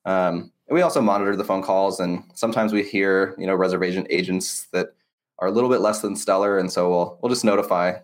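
A man's voice: low voice, fairly even intonation, a little fast. A man being interviewed as an agency owner, explaining his work in an earnest, casual, conversational way.

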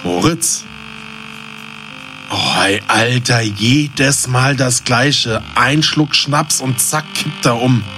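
A man's voice: mid-range voice, very slow, music in the background. A man playing a brash, cheeky buddy in a comedy audio drama, talking casually in teasing slang. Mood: teasing, exasperated.

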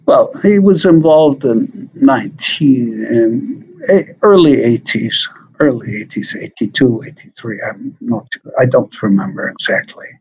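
A man answering interview questions slowly, with hesitant fillers and restarts. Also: mid-range voice, big pitch swings, vocal fry, darkish tone, slightly rough, lo-fi sound. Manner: slow, halting, with many fillers. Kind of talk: recorded interview.

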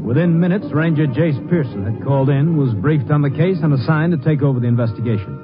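A male narrator giving measured, formal scripted narration in an old radio drama. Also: a little high, expressive. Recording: dull lo-fi old radio broadcast under music.

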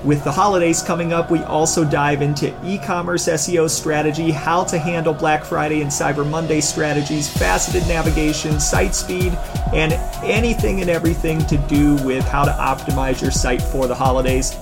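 A man hosting a podcast in relaxed, rambling casual chat, with a tenor voice and many fillers. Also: a little high, normal speed, creaky, music in the background. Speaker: podcast host.

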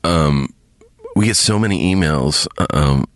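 A man hosting a podcast alone, chatting casually to his listeners in a halting, rambling way with lots of fillers, a bit sniffly from congestion. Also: rumbling low. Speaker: podcast host. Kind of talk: casual solo chat to listeners.

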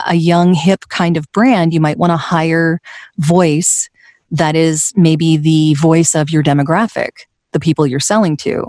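A woman with a smooth, slightly low voice talks as an interview guest about her voiceover work. She laughs easily and pokes fun at herself. The recording is dry and close, with no room sound. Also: darkish tone, no echo. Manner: laughs often, light and self-deprecating. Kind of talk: conversational interview.

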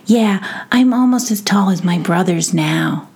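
A woman's voice: medium pitch, lively intonation, a little slow, vocal fry, darkish tone, slightly rough, heavily acted. A woman voicing a small, earnest and proud young boy gnome in a children's story, with a hesitant manner.

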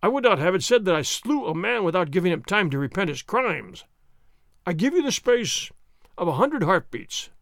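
A blustering, boastful army sergeant, as a man puts him on for a storybook reading, pushing his voice high and loud. He sneers and taunts, swinging wildly up and down in pitch. The sound is dry and close. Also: big pitch swings, moderate pace, vocal fry, dry recording, heavily acted. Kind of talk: audiobook character reading.

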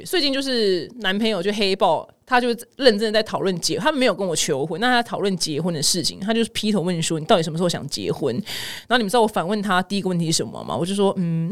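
A woman hosts a casual podcast in Taiwanese Mandarin at a brisk clip. Her pitch swings widely as she tells stories to her listeners. She comes across as frank, expressive and ready to poke fun at herself. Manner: candid, self-mocking, expressive. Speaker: podcast host of a humorous news show. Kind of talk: casual chatty storytelling to listeners.

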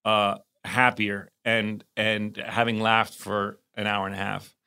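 A man chats casually as a podcast co-host over a remote call. His voice is bright and clear. He stumbles and restarts often and keeps thanking the listeners. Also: lowish voice, level tone, slow pace. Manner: rambling, many restarts, warm thanks to listeners. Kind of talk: casual chat.

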